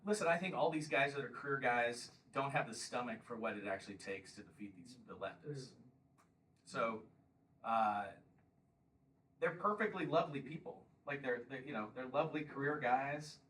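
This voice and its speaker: A man's voice: mid-range voice, normal speed, a bit bright, recorded from a distance, slight background noise, poor audio. A man in his fifties giving a combative campaign speech and taking questions at a local meeting, often pressing "Okay?". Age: 50s. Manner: combative, emphatic, repeats "Okay?". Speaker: congressional candidate.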